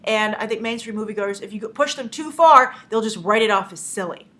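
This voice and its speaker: A woman's voice: mid-range voice, brisk, sharp tone. Stuffy-nosed woman hosting movie news. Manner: chatty, many "uh" fillers, opinionated. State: stuffy from a cold. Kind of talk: casual news commentary.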